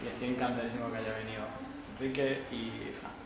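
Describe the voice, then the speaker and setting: A man who runs the event, introducing the evening's speakers in an unhurried, friendly way. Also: mid-range voice, creaky. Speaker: event organizer. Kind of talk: casual introduction. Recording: roomy, distant, lively crowd.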